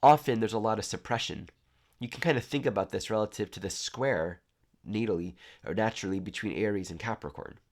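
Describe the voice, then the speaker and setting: Low-voiced guy, stuffy nose, calm earnest spiritual talk. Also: fairly quick. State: congested, nasal. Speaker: astrology teacher. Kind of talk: reflective spiritual talk to camera.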